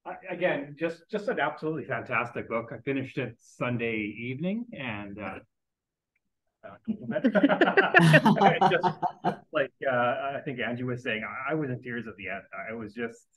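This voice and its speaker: A man in a book club audience giving the author an earnest, rambling compliment, halting and restarting as he speaks. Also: mid-range voice, sing-song, a bit bright, slightly rough, poor audio. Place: library meeting room.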